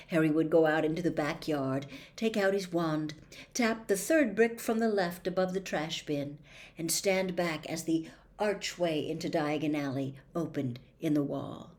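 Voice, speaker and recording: A woman reading a story aloud as expressive audiobook narration, with measured, dramatic phrasing. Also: sits low. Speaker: storybook reader.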